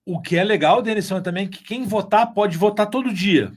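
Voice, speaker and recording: A man's voice: high-pitched, a little fast. A man chatting casually and jokingly as a podcast co-host.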